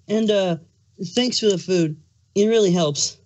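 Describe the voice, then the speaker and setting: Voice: medium pitch, takes it slowly, a little mellow, natural voice. A performer voicing a hormonal, foul-mouthed male changeling king who is pregnant, in an exasperated, emphatic cartoon fan fiction reading. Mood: sheepish. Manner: ranting, exasperated, emphatic swearing.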